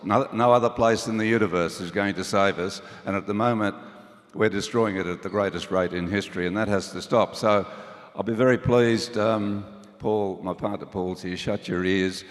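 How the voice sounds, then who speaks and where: A man's voice: fairly low pitch, fairly even intonation, moderate pace. A man speaking on a panel in an earnest, impassioned way. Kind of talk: panel discussion. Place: large hall with audience. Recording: hall reverb.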